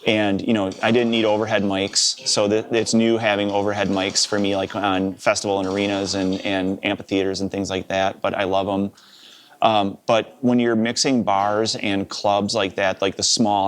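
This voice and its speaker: Wisconsin man in his fifties, sound engineer guest, low voice, easygoing and chatty. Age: 50s. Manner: relaxed, rambling, with many "uh" and "you know". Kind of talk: casual interview storytelling.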